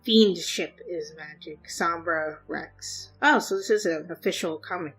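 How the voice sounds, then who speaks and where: A woman's voice: lowish voice, unhurried, fairly bright tone, husky, soft background music, rough recording. A woman hosting a reaction video, giving casual, offhand commentary in an informal way.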